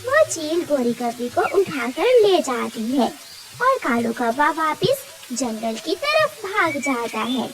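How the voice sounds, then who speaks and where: A woman's voice: sits high, very animated, slow, very noisy. A woman narrating a scripted children's cartoon story.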